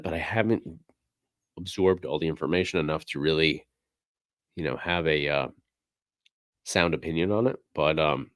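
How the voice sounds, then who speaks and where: Man, very deep voice, slightly hoarse, raspy, rambling casual livestream talk, dry close sound. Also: dry recording. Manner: relaxed, rambling, many fillers. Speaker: livestream host.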